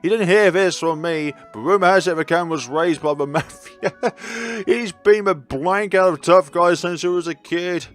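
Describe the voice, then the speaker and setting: Man putting on an excitable high-voiced teasing young guy. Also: normal speed, soft background music, natural voice. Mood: gossipy.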